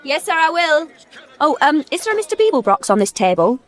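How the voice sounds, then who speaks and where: An actress with a British accent playing a spirited young woman in a radio comedy drama, excitable and direct. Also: high voice, brisk. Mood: excited.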